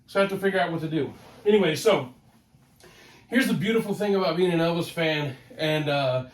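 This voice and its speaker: A man with a head cold, sounding nasal, hosting a casual collector vlog from his home office in an excitable, rambling way that repeats words. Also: on the high side, a little fast. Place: home office, ten-foot ceiling. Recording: roomy home office, mic at a distance.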